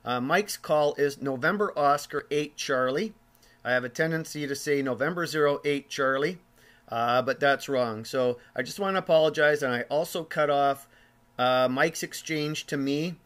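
A man's voice: fairly high pitch, moderate pace, fairly bright tone. A man narrating an amateur radio video in an informal, explanatory way.

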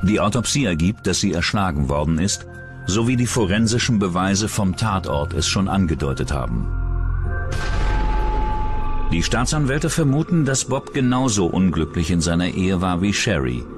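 Deep-voiced man narrating true crime over music. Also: very animated, moderate pace. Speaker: documentary narrator.

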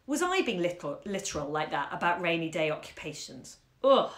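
A woman doing a tired young mother, thinking aloud in a wry, sorrowful way with a lively rise and fall. The voice carries a roomy echo. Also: on the low side, a little fast. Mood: rueful. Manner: wry, sorrowful inner musing. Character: weary rueful young mother of three.

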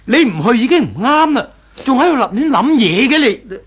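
A man's voice: very high voice, big pitch swings, fairly quick, fairly bright tone, slightly rough, exaggerated voice. An actor playing a reproving older father and family patriarch in a 1980s radio drama, with a Hong Kong Cantonese accent.

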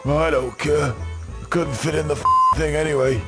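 A man's voice: fairly high pitch, normal speed, over loud music, natural voice. A man playing a crude, whining bee king reduced to begging, in a comic video game. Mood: bitter. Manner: crude, complaining, wheedling. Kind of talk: video game cutscene acting.

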